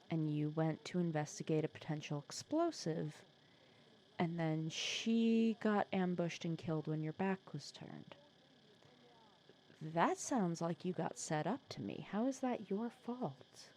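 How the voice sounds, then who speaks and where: Soft-spoken, mellow lowish-voiced villainess, acted by a woman. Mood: gentle, probing, then insistent, reassuring. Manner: soft-spoken, teasing, conversational with hesitations. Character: supervillain nemesis woman, wry, protective, gently comforting. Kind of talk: ASMR roleplay audio drama.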